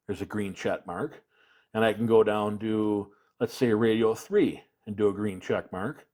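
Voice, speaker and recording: A man's voice: lowish voice, a little mellow. A man giving a casual hands-on product demonstration, explaining things plainly and step by step. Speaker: product reviewer, video presenter.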